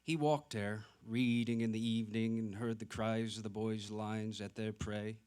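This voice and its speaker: A man's voice: fairly low pitch, flat delivery, moderate pace, darkish tone, clear, resonant tone. A man narrating literary fiction in a dramatic reading. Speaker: actor reading aloud.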